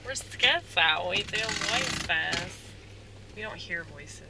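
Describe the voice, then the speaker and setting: A man rambling in a soft-spoken, halting way, full of fillers, as a stand-up comedian musing aloud. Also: high voice, expressive, background noise. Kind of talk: rambling casual monologue.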